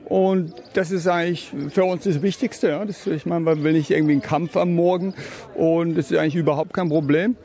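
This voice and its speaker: A father answers interview questions with a warm, mellow voice pitched a little high. He thinks aloud and sometimes stops to restart a sentence. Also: fairly even intonation, fairly quick. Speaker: interviewed parent.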